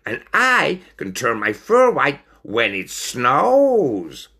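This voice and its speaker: A man voicing an excitable, cheeky storybook stoat who talks eagerly and repeats himself. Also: mid-range voice, drawn-out words, gravelly, slightly rough, pitched above the performer's own voice, full character voice. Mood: excited, eager. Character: excitable, cheeky male stoat, quick-talking schemer.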